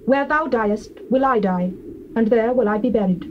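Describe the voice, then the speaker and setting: Actress doing steadfast young widow in bible audio drama, earnest measured speech, dull hissy cassette. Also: female voice, a little high, natural voice. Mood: earnest devotion. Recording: muffled hissy old cassette tape.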